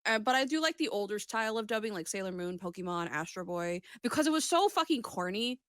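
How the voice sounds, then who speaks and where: A woman's voice: mid-range voice, animated, fast talker, a bit bright, dry recording. Sweary woman chatting, dry close sound. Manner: rambling, sweary. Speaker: podcast host. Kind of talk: casual chat.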